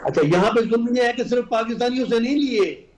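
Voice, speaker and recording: A man's voice: very high voice, fast, a little mellow. A man with a Pakistani accent arguing insistently as a political guest, point by point, with English phrases mixed in. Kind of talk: argumentative political debate. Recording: roomy, echoing sound.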